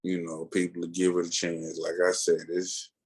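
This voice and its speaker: A man's voice: low voice, monotone, moderate pace, breathy edge. A man co-hosting a podcast in casual chat, with a laid-back, slangy, colloquial way of talking. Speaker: podcast co-host.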